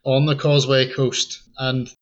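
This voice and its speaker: A man's voice: mid-range voice, level tone, a little slow, highs cut off. A man from Northern Ireland with an Ulster Scots tinge to his accent, chatting easily as a podcast guest. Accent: Northern Irish, Ulster Scots tinge. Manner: easygoing, chuckles often. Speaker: podcast guest, tour guide. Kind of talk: casual interview chat.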